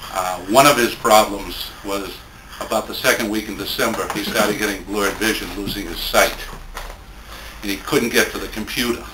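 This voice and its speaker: A man speaking at a live poetry tribute in a plainspoken, measured way, between informal introduction and reading. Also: lowish voice, fairly even intonation. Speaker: speaker reading a late friend's poems at a tribute. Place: indoor room at a live poetry event. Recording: roomy, echoing event recording with faint noise.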